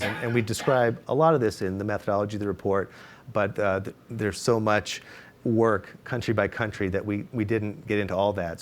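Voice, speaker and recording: A man's voice: fairly low pitch, a little hiss or hum. A man on a discussion panel, laying out research methods in a careful, explanatory way with many fillers and restarts.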